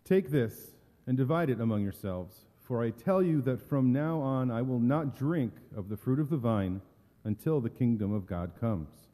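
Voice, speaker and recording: A man acts out a gentle, solemn holy teacher in a dramatic scripture reading. The voice is very dark and mellow, middle in pitch, with subdued, earnest delivery. Long reverb of a hall surrounds it. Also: lively intonation, normal speed, lightly acted.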